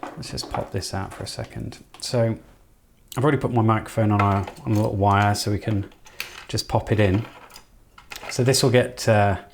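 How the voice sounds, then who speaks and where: A man's voice: on the low side, fairly even intonation, moderate pace, noisy background. A man giving casual, informal hands-on commentary as he works through an electronics build, half talking to himself. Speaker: electronics hobbyist presenter.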